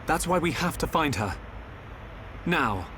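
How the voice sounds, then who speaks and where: A man's voice: fairly high pitch, sing-song, unhurried, fairly bright tone. A man playing an earnest, direct young hero in a video game. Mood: urgent. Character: earnest, urgent young male hero with visions. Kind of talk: video game cutscene acting. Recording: faint ambient noise.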